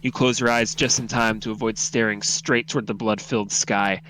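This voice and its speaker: A man's voice: lowish voice, fairly even intonation, moderate pace, bright, forceful tone, rough recording. Man narrating a suspenseful horror story. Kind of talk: dramatic horror story read-aloud.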